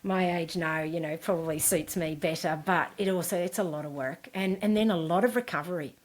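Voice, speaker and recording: A woman's voice: on the low side, fairly quick. A woman from Scotland, an endurance coach, chatting as a podcast guest with lots of fillers and restarts. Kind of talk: casual interview chat.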